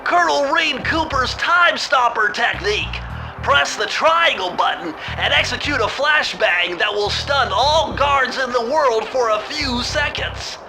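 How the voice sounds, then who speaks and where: An actor voicing a brainy, fussy young animal sidekick in a video game, nasal, with precise, bookish wording. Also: male voice, extremely high pitch, lively intonation, unhurried, over music, heavily acted, poor audio. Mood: excited.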